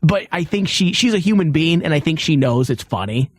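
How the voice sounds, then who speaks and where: A man hosting a show with casual comedy banter, telling stories in an animated way. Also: on the high side, brisk, no room sound, clean studio sound. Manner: animated storytelling, acting out quoted exchanges.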